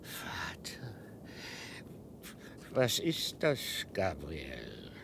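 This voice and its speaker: An actor playing a confused, childlike and paranoid old father in a radio play, halting and repetitive. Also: wildly swooping pitch, gravelly, exaggerated voice. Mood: vacant, puzzled. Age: older. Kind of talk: German radio play acting. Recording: rumbly, noisy archive radio recording.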